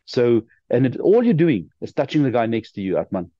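A man's voice: mid-range voice, big pitch swings, fairly quick, warm tone, no echo. A man leading a video meeting, speaking encouragingly and handing the floor back to another speaker. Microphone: video call. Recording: dull lo-fi video call audio.

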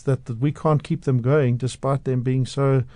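A man from South Africa gives his views as a radio studio guest. His voice is mellow and the sound is dry and close. He speaks with conviction and often restarts sentences. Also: medium pitch, steady tone, normal speed, no echo. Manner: passionate, outspoken, with frequent restarts. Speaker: radio guest, media analyst. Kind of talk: radio interview. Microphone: studio microphone.

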